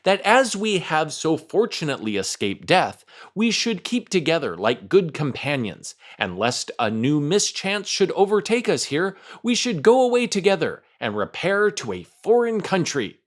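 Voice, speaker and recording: A man's voice: on the high side, big pitch swings, normal speed, few pauses, pitched above the performer's own voice. A man voicing a chatty, companionable little female bean in a storybook tale. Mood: eager, companionable. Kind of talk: storybook character voice.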